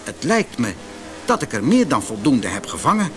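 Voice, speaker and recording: A man's voice: medium pitch, wildly swooping pitch, moderate pace, noisy background. A man voicing a polite, slightly nervous young hero in an audiobook fairytale. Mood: contented.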